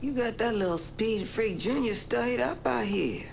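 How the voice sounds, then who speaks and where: An actress playing a brash, salty American street informant in a video game, with a gravelly voice and a folksy, sardonic way of talking. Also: female voice, a little high, unhurried, background noise. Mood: sardonic, spiteful. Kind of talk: video game cutscene acting.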